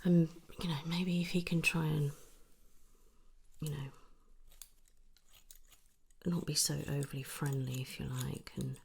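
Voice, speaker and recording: Soft whispery British woman, very crackly low voice. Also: steady tone, measured pace, long pauses. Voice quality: soft, breathy, often dropping to a whisper. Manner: gentle, reassuring, chatty. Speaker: ASMR performer playing a chatty hairdresser. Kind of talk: ASMR roleplay, one-sided casual chat. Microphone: close ASMR microphone.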